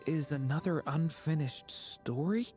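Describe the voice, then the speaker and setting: A woman's voice: deep voice, a little slow, warm tone, soft background music. A woman playing a shy, earnest and polite young girl in a video game, speaking hesitantly. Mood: earnest, unsure. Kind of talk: video game character acting.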